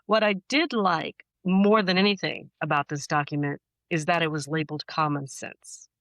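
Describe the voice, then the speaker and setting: American woman, podcast guest. Lowish voice, thoughtful and emphatic explainer, very dry recording. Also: moderate pace, fairly bright tone, no room sound. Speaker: guest poet and author. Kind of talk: conversational podcast interview.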